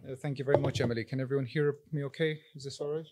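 A man's voice: medium pitch, fairly even intonation, very fast, very noisy. Irish man speaking at a podium. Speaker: town coastal resilience coordinator. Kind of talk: public forum presentation. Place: meeting hall with audience. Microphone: podium microphone.

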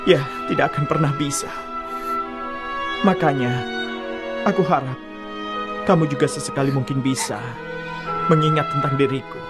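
A man voicing a shy, sincere teenage boy with a halting, hesitant way of talking in a cartoon dub. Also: medium pitch, steady tone, loud music behind the voice, close to an everyday voice. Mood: wistful.

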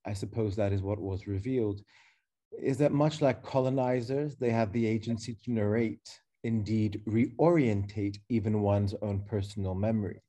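A man in his thirties reading a prepared keynote as curator over a webcam video call, slow, measured and reflective, with pauses. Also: fairly low pitch, warm tone. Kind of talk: reading a prepared lecture text. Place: dark room indoors.

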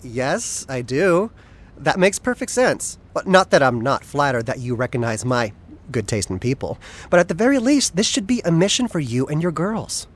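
A flamboyant, loyal male assistant in an audio drama. His pitch leaps around expressively as he banters with playful, theatrical wit. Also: lively intonation, normal speed. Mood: incredulous. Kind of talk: audio drama acting, conversational.

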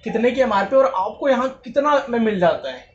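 High-voiced excited vlogger in a TV showroom. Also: male voice, fairly quick, lo-fi sound, slight background noise. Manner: enthusiastic interjections, repeats prices back. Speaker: vlogger host. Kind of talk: vlog walkthrough. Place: large TV showroom indoors.

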